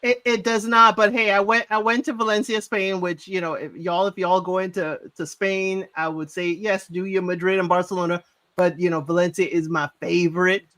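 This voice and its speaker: A man's voice: high voice, little pitch movement, moderate pace, pushed and bright. A man born in Trinidad, chatting casually and playfully on a live show.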